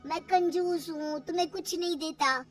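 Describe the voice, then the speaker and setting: Woman playing a high-voiced, quick-tempered brother. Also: fairly even intonation, faint music behind. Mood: angry. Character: irritable, accusing young man, quick-tempered brother.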